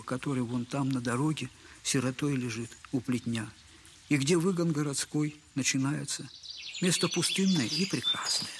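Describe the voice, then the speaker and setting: A man's voice: fairly high pitch, background noise, natural voice. An actor in an audio drama playing a humiliated, buffoonish middle-aged poor father who talks in a servile, wordy, self-mocking way. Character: humiliated, buffoonish impoverished father, bitter and tender. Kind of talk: Russian literary audio drama acting.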